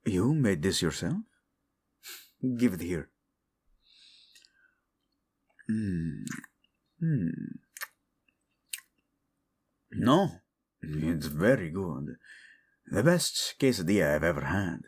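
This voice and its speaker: A man's voice: deep voice, sing-song, takes it slowly, pauses often, slightly rough, full character voice. A man acting as a teasing, devoted king in an intimate romantic audio roleplay, playful and tender with his wife. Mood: affectionate, teasing.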